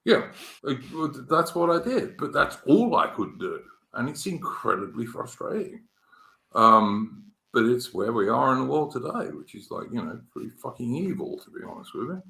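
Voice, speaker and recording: An English man in his fifties hosting a casual political chat, halting, with many fillers and restarts. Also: mid-range voice, sing-song, gravelly, bright, forceful tone, echoey room, low-quality recording. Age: 50s. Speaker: podcast host.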